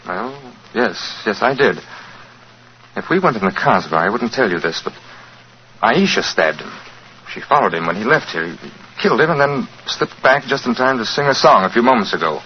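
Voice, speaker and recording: Suave rogue, urbane, world-weary, animated inflection, vintage radio drama, muffled noisy recording. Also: male voice, fairly low pitch, normal speed. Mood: nonchalant, urbane. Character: suave, world-weary English expatriate impostor and murderer. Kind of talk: 1940s radio acting.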